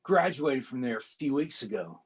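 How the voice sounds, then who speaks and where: Young rookie cop narrator, read by a man: husky, lively pitch, plain first-person telling. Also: normal speed. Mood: wry. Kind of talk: dramatic reading of a comic.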